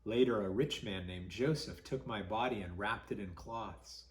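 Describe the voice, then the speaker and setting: A man reading a story to children as a pastor would, dramatic and expressive, with deliberate pauses. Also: fairly low pitch, echoey room.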